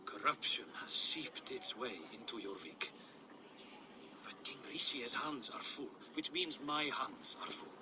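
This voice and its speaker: An actor playing a cautious, burdened Norse warrior in a video game, speaking with emphatic, measured exasperation. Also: male voice, mid-range voice, lively intonation, background noise, natural voice. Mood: wary, burdened. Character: weary, cautious old Norse warrior with civic duties. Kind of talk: dramatic video game acting.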